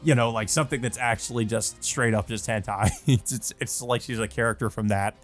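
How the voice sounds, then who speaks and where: A man's voice: mid-range voice, fairly even intonation, fairly quick. Male let's play host, mid-range voice, casual and amused, reads visual novel text and comments, music underneath.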